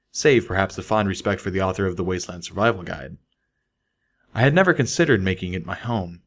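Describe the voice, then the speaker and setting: A man's voice: fairly low pitch, a bit bright. A man reading a scripted first-person story as an audiobook narrator. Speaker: audiobook reader.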